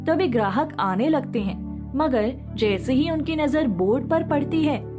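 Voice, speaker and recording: A woman's voice: high voice, lively intonation, a little slow, over music. A woman reading a scripted moral story as its narrator.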